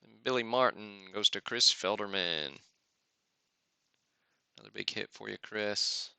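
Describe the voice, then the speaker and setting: A man's voice: on the low side, a little slow, vocal fry, bright, forceful tone, clean tone, no echo. A man hosting a live card-opening stream with casual, off-the-cuff commentary and muttered asides to himself. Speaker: host of a sports card break livestream.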